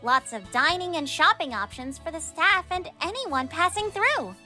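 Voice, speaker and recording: A friendly young cartoon heroine giving a tour. Her voice is extremely high and bright, chipper and animated, with faint music underneath. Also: normal speed, full character voice. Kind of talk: comic dub voice acting.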